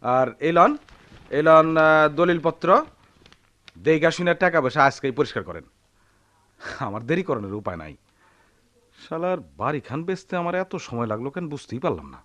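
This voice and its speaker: A man's voice: fairly high pitch, animated, normal speed, long pauses, fairly bright tone. An actor playing a defiant, hot-headed village man in a radio drama, blustering and threatening in a rural Bangladeshi Bengali accent. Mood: impatient.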